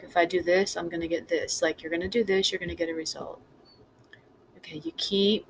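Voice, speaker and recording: Woman giving an earnest spiritual talk, noisy background. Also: on the low side. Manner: earnest, emphatic, repeating phrases in building cadences. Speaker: spiritual teacher. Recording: clear background noise.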